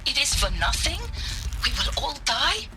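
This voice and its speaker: A woman's voice: high-pitched, big pitch swings, music in the background. Bewildered, distressed woman art historian. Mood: distressed, confused. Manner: halting, questioning, pleading. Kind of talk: dramatic video game acting.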